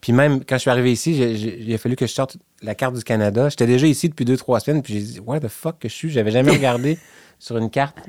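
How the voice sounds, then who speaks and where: A man's voice: mid-range voice, quick pace, darkish tone, dry recording, studio quality. A man from the Quebec City region with a Quebec French accent, chatting casually in an interview and telling stories with many restarts. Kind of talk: casual chat.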